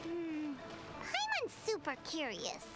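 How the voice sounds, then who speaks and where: A tiny floating anime game companion, extremely high and wildly animated. The childlike character chatters excitably and turns indignant easily, with music playing behind. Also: female voice, slow, exaggerated voice. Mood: puzzled, curious. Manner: refers to self in third person. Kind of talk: anime video game voice acting.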